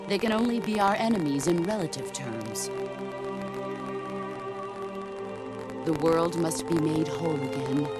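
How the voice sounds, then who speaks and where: Game villain-mentor, a middle-aged woman soldier: solemn, philosophical, lowish voice, measured monologues over clear music. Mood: resolute. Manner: measured, grave, philosophical delivery. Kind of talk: dramatic video game cutscene monologue.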